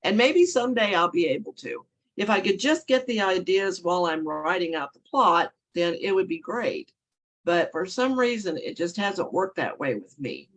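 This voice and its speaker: Froggy-voiced woman author, hoarse. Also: lowish voice, lively intonation, normal speed, rough recording. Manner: rambling, self-correcting, chuckling often. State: hoarse from a cold. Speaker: guest author. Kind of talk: casual interview chat.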